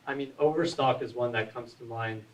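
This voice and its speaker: A man's voice: medium pitch, fairly quick. A man on a panel answering a question hesitantly, with frequent ums and "you know". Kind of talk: panel discussion. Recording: distant mic with faint hiss.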